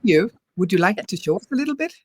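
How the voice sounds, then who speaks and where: A woman's voice: mid-range voice, sing-song, quick pace, darkish tone, a little hiss or hum. A woman hosting an online webinar over a video call, chatty and loose with fillers. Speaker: webinar host.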